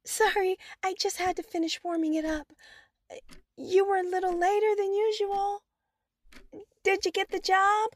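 Actress as meek, apologetic girlfriend for radio drama; extremely high voice, slow, hesitant, dry sound. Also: flat delivery, no room sound. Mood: nervous, placating. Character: meek, lonely, anxious, eager-to-please woman.

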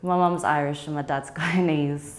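A woman's voice: low-pitched, moderate pace. A young woman being interviewed in a studio, talking frankly and casually with frequent ums and easy laughter. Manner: frank, relaxed, laughs easily, many ums.

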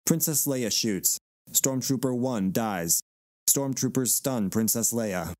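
A man's voice: medium pitch, animated, slow, darkish tone, no echo, studio quality. A man reading stage directions as scripted narration for a dramatic reading.

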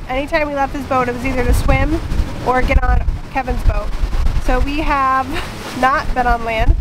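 A woman in her thirties chatting casually and a little loosely to a camera held close, out in an open sailboat cockpit. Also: sits high. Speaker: sailing vlogger. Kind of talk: casual vlog update to camera. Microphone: camera at arm's length. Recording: clear wind and boat noise.

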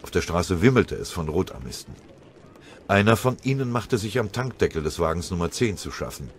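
Deep male narrator reading a dramatic war audiobook, faint battle effects behind him. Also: sing-song, fairly quick, a little hiss or hum.

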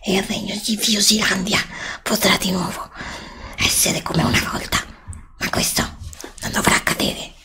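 A middle-aged woman voicing a scheming evil witch queen of darkness in an ASMR roleplay, hissing curses in slow menacing whispers close to a desk mic. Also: medium pitch, steady tone, creaky, pushed and bright. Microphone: desk condenser mic close up.

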